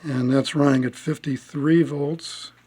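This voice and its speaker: A man giving a casual step-by-step demonstration as a hobbyist, speaking haltingly with pauses as he works. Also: fairly high pitch, steady tone, warm tone, slightly rough. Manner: halting, pausing mid-sentence as he works. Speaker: hobbyist restoring a vintage radio.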